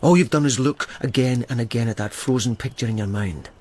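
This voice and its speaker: A man playing a steady friend in a radio drama, calm and probing, pressing with short questions. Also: mid-range voice, fairly quick, slight background noise, lightly acted. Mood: insistent.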